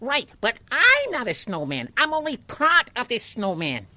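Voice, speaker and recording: A woman's voice: fairly high pitch, huge pitch swings, measured pace, bright, forceful tone, no room sound, exaggerated voice. A woman playing a plucky, chatty water molecule living inside a snowman, matter-of-fact and pushy, in a children's audio drama.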